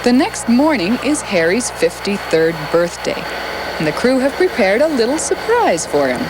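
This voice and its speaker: A woman narrating a documentary in a calm, measured, scripted way. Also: fairly high pitch, noisy background. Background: music.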